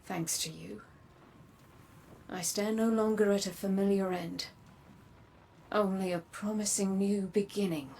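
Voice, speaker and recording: A woman acting as a grave, enigmatic guide to dark power in a video game, speaking in a solemn, measured, portentous way. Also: mid-range voice, steady tone, gravelly, slight background noise. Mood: grave, hopeful.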